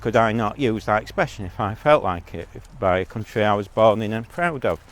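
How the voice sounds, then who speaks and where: A man's voice: lowish voice, lively intonation, fairly quick. A man giving a blunt, rambling monologue as a vlogger, talking while out on a walk.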